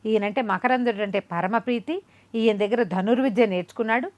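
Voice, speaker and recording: High-voiced woman telling a folk tale. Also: a little fast, muffled recording. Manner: conversational, expressive, repeats phrases for emphasis. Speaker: storyteller. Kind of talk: oral storytelling of a folk moral tale, acting out dialogue.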